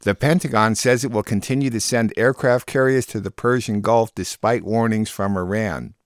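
A man's voice: on the low side, normal speed, no echo, studio quality. A man hosting a radio program, reading a scripted digest of world news.

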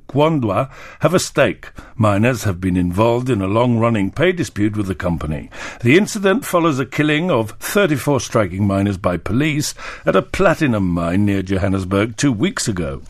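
A man's voice: on the low side, dry recording, clean studio sound. A man reading scripted news reports as a radio newsreader.

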